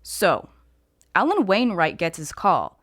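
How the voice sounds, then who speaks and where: A woman voicing a fussy, shrewd male amateur sleuth with a pedantic, deliberate manner, in a read mystery story. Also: lowish voice, very animated, fairly bright tone, full character voice. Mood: probing, deliberate. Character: fussy, shrewd man who sleuths and walks with a cane.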